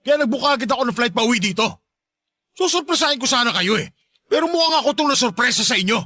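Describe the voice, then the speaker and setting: A man's voice: extremely high pitch, lively intonation, fast, bright, forceful tone, full character voice. A man playing a stern, betrayed father in a melodramatic radio drama, with a commanding manner. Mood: surprised, stern. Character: stern, betrayed middle-aged father and husband.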